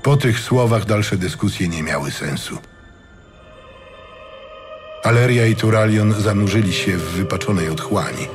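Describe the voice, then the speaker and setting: A man reading scripted dramatic fantasy narration in a measured, solemn storytelling manner. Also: fairly low pitch, music in the background. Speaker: audiobook narrator.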